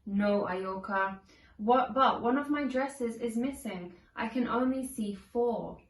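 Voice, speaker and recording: A woman reading a children's storybook aloud in simple, deliberate phrases, acting out the dialogue expressively. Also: on the high side. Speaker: storybook reader. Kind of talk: children's read-along storytelling with acted dialogue. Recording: echoey room, distant from the mic.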